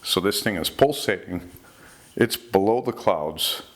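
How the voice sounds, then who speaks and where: A man's voice: low voice, animated, measured pace. A man retelling recorded incidents in an informal, conversational storytelling style, as the host of a talk. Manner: conversational, relays dialogue in reported speech. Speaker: host recounting UFO reports.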